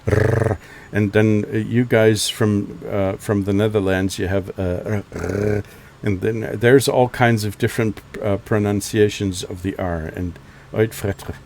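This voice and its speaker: A man's voice: on the low side, steady tone, a little slow, darkish tone, slight background noise. A German man chatting casually on headset while flying in a flight sim, hesitant with many restarts. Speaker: flight sim video host. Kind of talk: casual chat over radio while flying.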